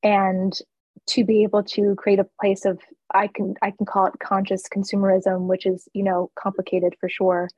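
A woman's voice: medium pitch, monotone, normal speed, a little mellow, breathy edge, no echo, low-quality recording. A woman from the East Coast who owns a flower shop, talking candidly in a casual interview with fillers and laughs. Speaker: florist and shop owner.

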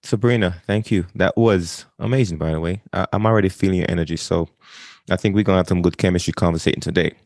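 A man, talking as an interview guest in an energetic way, often repeating and restarting phrases. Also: low-pitched, fast, dry recording, studio quality. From Jamaica. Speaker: guest, wisdom coach. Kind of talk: casual interview talk.

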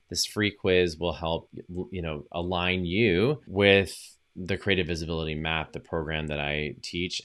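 Deep-voiced man hosting a chatty podcast. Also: fairly bright tone. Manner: upbeat, chatty, with fillers and self-corrections. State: recovering from lost voice. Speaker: podcast host and social media coach. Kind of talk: solo podcast monologue.

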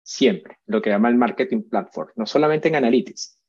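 A chatty man in his forties speaks as a guest in an interview. He often checks in with a quick question and restarts his phrases. The sound is dull and lo-fi, with a dry, close feel. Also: mid-range voice, a little mellow, dry recording. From Venezuela. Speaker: guest expert. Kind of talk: casual interview talk.

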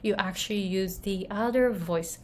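A woman from Japan teaching voice in a video lesson, talking gently with many restarts and fillers. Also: medium pitch, moderate pace. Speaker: voice coach.

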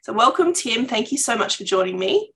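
A woman hosts a podcast with a gravelly, fry-tinged voice. She chats casually and in a friendly way with her guest. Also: a little high, sing-song, fairly quick, fairly bright tone. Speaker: podcast host. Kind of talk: casual interview.

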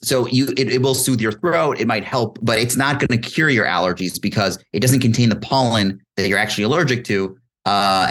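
A man's voice: lowish voice, fairly bright tone. A young man, a guest doctor, explaining things in a casual interview.

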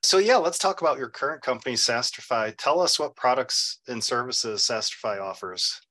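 Bright-voiced male podcast host. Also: mid-range voice, lively intonation, normal speed. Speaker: podcast host interviewing a guest. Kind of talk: conversational interview.

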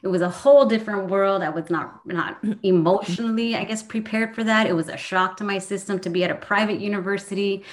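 A woman's voice: medium pitch, fairly even intonation, brisk, hardly any pauses. A woman from Southern California, a professor and guest, talking casually and earnestly with frequent ums. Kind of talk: casual conversation.

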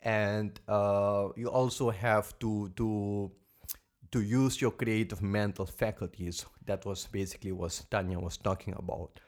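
Low-voiced Dutch-accented man, earnest podcast co-host, explanatory tone. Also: fairly even intonation, unhurried. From Netherlands. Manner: earnest, explanatory, repeats "basically". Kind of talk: casual podcast talk.

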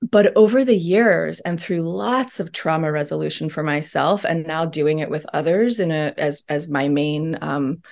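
A woman talking as an interview guest in a thoughtful, earnest way with many pauses and ums. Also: low-pitched, creaky, a little mellow. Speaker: podcast guest. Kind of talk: reflective interview talk.

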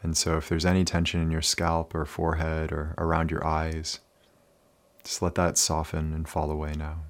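A man leading a guided meditation in a calm, soothing, unhurried manner with many pauses. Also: very deep voice.

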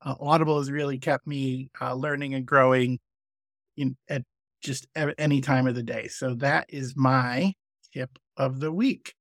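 A man's voice: mid-range voice, steady tone, moderate pace, no room sound, studio quality. A man hosting a podcast interview, chatting in a friendly way and often restarting his sentences. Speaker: podcast host.

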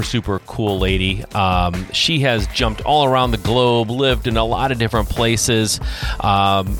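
A middle-aged man from Michigan hosts a casual podcast interview, chatty and upbeat, with plenty of fillers and restarts. His voice sits low. The sound is dry and close. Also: fairly bright tone, dry recording. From Michigan, USA. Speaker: podcast host.

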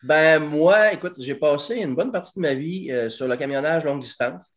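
A man in his forties with a Québécois French accent, a guest talking about his barbecue company in a casual interview, fluent and lively with plenty of hesitations. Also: fairly high pitch, moderate pace. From Quebec. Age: 40s. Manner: animated, fluent, frequent "euh" and hesitations.

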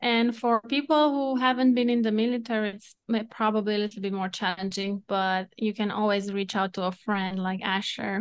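A woman's voice: mid-range voice, clean tone. A woman with a Romanian accent, a podcast guest, giving advice thoughtfully and laughing at herself now and then. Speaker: guest, entrepreneur and coauthor. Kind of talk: casual podcast conversation.

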